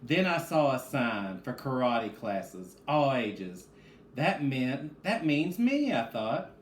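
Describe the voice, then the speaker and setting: A man's voice: mid-range voice, big pitch swings, takes it slowly. A man who is a reading teacher, speaking to children in a read-aloud lesson. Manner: addresses children directly, asks and answers own questions. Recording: echoey room with faint background noise.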